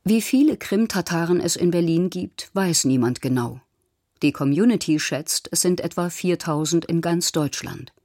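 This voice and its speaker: A woman reading scripted documentary news narration in a measured, matter-of-fact way, recorded as a studio voice-over. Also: lowish voice, darkish tone, dry recording.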